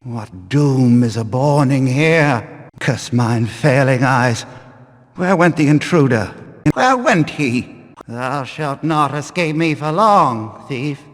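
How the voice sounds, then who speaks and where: An actor playing a fierce, fanatical warrior-priest in a video game, delivering archaic combat lines with menacing, bombastic declamation. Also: male voice, medium pitch, expressive, slow. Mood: angry searching. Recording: long hall reverb.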